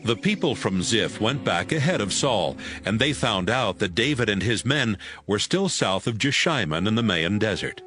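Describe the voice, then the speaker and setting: A man's voice: on the low side, animated, moderate pace, few pauses, fairly bright tone, soft background music. A man narrating a dramatized audio Bible as a scripted story.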